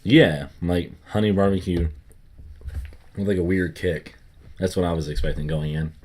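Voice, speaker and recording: A man's voice: low-pitched, steady tone, moderate pace, darkish tone. A young man with a light Southern US accent, co-hosting a casual chat and rambling with lots of fillers. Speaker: co-host. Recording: faint music underneath.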